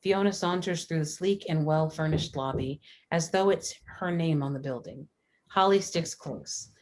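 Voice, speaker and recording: A woman's voice: deep voice, moderate pace, darkish tone, rough recording. A woman narrating scripted stage directions for a screenplay at a table read.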